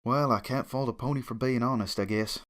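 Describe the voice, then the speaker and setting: A man's voice: medium pitch, a little fast, a bit bright, natural voice. Country pony mare, acted by a man, blunt, honest, folksy, grave, story reading. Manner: plain-spoken, direct, folksy.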